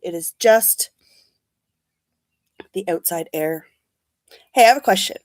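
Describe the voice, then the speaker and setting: A woman's voice: on the low side, slow, dry recording. Young adult woman, casual beauty vlogger, slightly sniffly, dead-dry room tone. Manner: chatty, rambling, reads and answers live comments. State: sniffly from allergies. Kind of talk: casual livestream chat.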